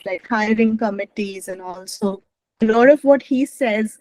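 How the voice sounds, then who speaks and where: A woman's voice: on the high side, a little mellow, no room sound, poor audio. Gujarati-speaking woman presenting over video call. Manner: rambling, self-correcting, apologetic. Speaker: guest speaker, academic. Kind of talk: online presentation.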